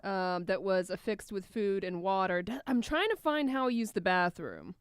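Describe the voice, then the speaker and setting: A woman's voice: medium pitch, very animated, moderate pace, clean tone, no room sound, studio quality. A woman working as a radio show sidekick, looking up facts and reporting them in casual chat.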